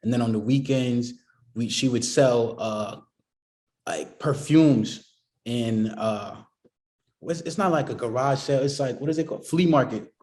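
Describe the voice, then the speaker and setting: A music producer chats in an interview at an easy, middle pitch, circling around his points and leaning on "you know what I mean" as he talks. He is a man from the Boston area, relaxed and informal. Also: a little slow. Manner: laid-back, rambling, many fillers like "you know what I mean". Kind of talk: casual interview chat.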